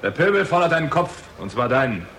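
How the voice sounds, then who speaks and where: Brisk man, rapid pace, medium voice, dry urgency, 1960s radio drama. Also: mid-range voice, very animated, a bit bright, slight background noise. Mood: grim. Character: brisk, level-headed friend of the accused man.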